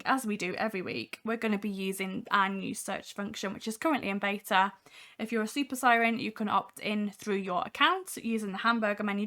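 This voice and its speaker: A young woman hosting a casual software demo livestream, talking from home with a voice made husky by a cold, chatty with many ums. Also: mid-range voice. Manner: chatty, many ums, reads chat aloud. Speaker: livestream host.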